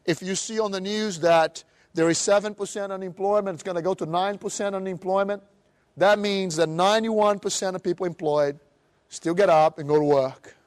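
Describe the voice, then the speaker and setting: A middle-aged man from Brazil with a Brazilian Portuguese accent, giving an animated seminar talk and acting out other people's voices. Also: high voice, moderate pace. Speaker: motivational speaker. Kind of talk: live seminar presentation.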